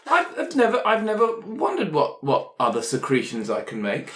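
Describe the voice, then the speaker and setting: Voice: mid-range voice, huge pitch swings, normal speed, pitched above the performer's own voice. A player voicing a polite, apologetic slime demon in a tabletop game, stammering and hesitant. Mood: awkward, eager. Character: apologetic, polite male slime demon with tentacles, named Graham.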